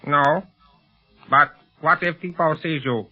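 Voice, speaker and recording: A man's voice: a little high, slow pace, soft background music. An actor playing a deferential, cautious henchman with formal, subdued speech in a 1930s radio serial. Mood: wary, deferential. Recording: muffled, lo-fi 1930s radio recording.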